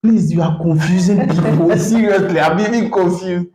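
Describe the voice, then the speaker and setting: A young man with a Ghanaian accent in a playful casual chat, protesting loudly and laughing often. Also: high-pitched, moderate pace. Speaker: co-host. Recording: roomy echo.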